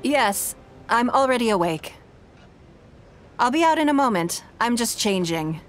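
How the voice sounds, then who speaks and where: A woman voicing a poised, shrewd businesswoman in a game, speaking in a composed, measured, elegant way. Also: on the high side, animated, soft background music. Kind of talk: game dialogue.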